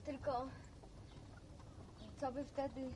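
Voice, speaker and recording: High-voiced, chatty young village woman. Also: level tone, takes it slowly, background noise. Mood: teasing. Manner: chatty, teasing, colloquial. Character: lively young village woman, teasing yet wistful about town. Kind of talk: Polish radio serial acting.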